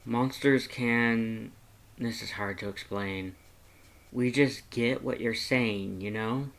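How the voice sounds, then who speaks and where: A man voicing a laid-back, gentle skeleton monster with a casual, understated way of talking, in a storybook reading. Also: mid-range voice, slow pace. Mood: patient, earnest.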